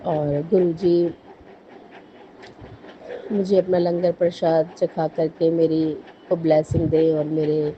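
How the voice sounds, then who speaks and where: A hoarse woman speaks low and fairly flat, telling her devotional story in an earnest, halting way. She keeps circling back to thanks and apologies. The voice note sounds dull and lo-fi, with faint background noise. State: hoarse from a cold and cough. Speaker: devotee sharing a testimony. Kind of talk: devotional personal testimony.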